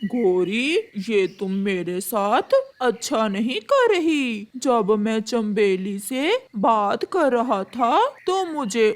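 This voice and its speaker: A woman voicing a lovestruck, scheming male crow in a cartoon story, with slow, pleading, melodramatic delivery. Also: fairly high pitch, full character voice. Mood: worried, complaining. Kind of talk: Hindi cartoon storybook acting.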